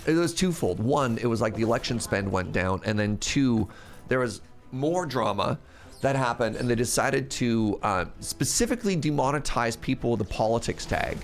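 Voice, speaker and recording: Gravelly, sniffly man chatting on stream. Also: medium pitch, lively intonation, moderate pace, faint music behind. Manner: rambling, chatty, laughs often. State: sniffly, congested. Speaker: game streamer. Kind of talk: casual live-stream chat while gaming.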